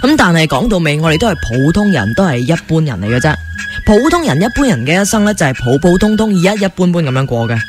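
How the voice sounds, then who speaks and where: A woman's voice: fairly low pitch, sing-song, fast, music in the background. A woman with a Hong Kong Cantonese accent presenting as a radio host. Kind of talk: radio chat and scripted presentation.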